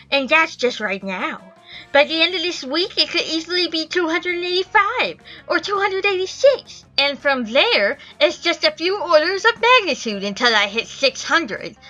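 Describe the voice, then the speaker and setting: A woman's voice: high-pitched, sharp tone, rough recording. A woman voicing a smug, entitled young male wannabe YouTuber with pompous, whiny boasting in an amateur game dub. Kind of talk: amateur visual novel dub.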